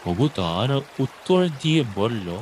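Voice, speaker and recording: A man reads a scripted children's story as narrator. His voice is low and his delivery unhurried. Clear watery noise runs behind him. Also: very animated, darkish tone.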